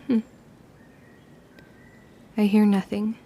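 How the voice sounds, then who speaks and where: A woman's voice: medium pitch, level tone, very slow, mellow. A woman playing a guarded, wounded young witch girl who tells her story in formal period speech in an audio drama. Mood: gentle. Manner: archaic, formal period diction. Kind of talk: confessional first-person audio drama narration and dialogue.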